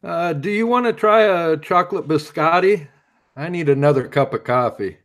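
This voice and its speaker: A man's voice: fairly high pitch, moderate pace, fairly bright tone. A man playing a wry pulp hero who tells his story in a dramatic, hardboiled way, in an audio drama. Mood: casual, sardonic. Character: wry male masked hero recalling his alien abduction.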